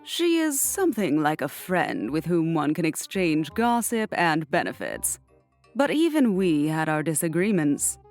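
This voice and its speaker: A woman's voice: low-pitched, sing-song, unhurried, darkish tone, soft background music, exaggerated voice. A woman voicing a poised, scheming cartoon villain with formal, businesslike wording. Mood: cool, diplomatic. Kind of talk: cartoon comic dub.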